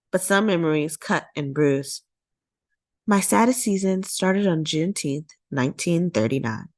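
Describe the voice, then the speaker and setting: A woman's voice: mid-range voice, no room sound. A woman voicing an elderly, hopeful great-grandmother telling her story in a children's storybook, with emphatic, lyrical phrasing. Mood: sorrowful. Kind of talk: children's storybook character reading.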